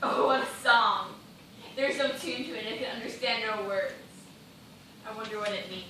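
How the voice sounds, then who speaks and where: Woman playing a frightened female dancer adrift, high voice, theatrical pleading, stage play, noisy distant recording. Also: normal speed, bright, forceful tone, very echoey. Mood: uneasy. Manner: theatrical, pleading, formal diction.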